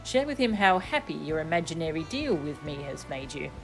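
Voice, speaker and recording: A woman reads as a resolute young nobleman in a fantasy game. The delivery is measured and formal, with a commanding edge, over soft game music. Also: low-pitched, very animated, low-quality recording.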